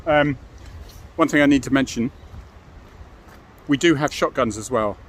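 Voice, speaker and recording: A man's voice: medium pitch, unhurried, slight background noise. A middle-aged British man giving an informal, explanatory monologue as a former police officer, frank and matter-of-fact, often adding tag questions.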